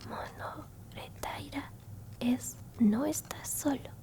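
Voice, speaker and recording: A woman's voice: high voice, vocal fry, exaggerated voice. Woman playing a whispering, soothing alien grandmother. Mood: tender, soothing. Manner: whispered, tender, soothing, unhurried. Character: gentle alien grandmother comforting a lost traveler. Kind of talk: Spanish ASMR roleplay, soft whispering.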